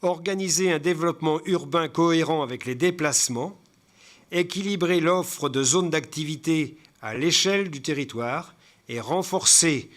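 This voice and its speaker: A man giving a formal report as a local councillor, reading out points haltingly, stumbling and repeating words as he goes. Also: on the high side. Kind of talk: formal presentation read from slides with spoken asides.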